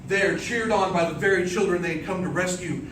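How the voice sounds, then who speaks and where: A man with a fairly high voice tells an epic tale as a contest speech. His phrasing is theatrical, deliberate and declamatory. He is heard from a distance in an echoing room, with noticeable noise behind him. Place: indoor room before an audience. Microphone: distant microphone. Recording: roomy, distant microphone, clearly noisy background.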